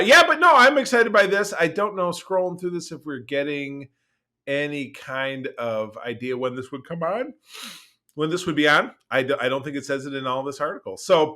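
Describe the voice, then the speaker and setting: A man co-hosting a podcast, chatting casually and reacting in halting, repeated phrases. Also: mid-range voice, pushed and bright. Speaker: podcast co-host. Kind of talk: casual chat.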